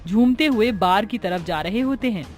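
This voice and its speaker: A woman's voice: mid-range voice, animated, moderate pace. A woman reading scripted story narration as the narrator of a moral tale. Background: background music.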